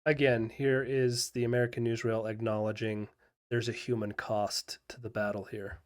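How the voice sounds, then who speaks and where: Man explaining history in halting phrases. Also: mid-range voice, level tone, noisy background. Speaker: history video host. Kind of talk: unscripted explanatory commentary.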